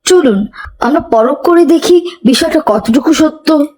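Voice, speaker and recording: A woman's voice: high voice, expressive, a little slow, a little mellow. A woman voicing an earnest, righteous and polite young boy in a cartoon. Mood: earnest, doubtful. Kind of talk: cartoon voice acting.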